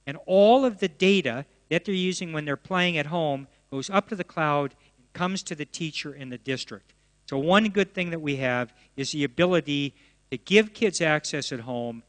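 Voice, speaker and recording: A man answers questions from the audience with eager, rambling detail, often restarting his sentences. His voice sits fairly high for a man. The sound carries the long reverb of a large hall. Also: fairly bright tone. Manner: enthusiastic, answers at length with restarts. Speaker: presenter of educational software. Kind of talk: Q&A after a talk.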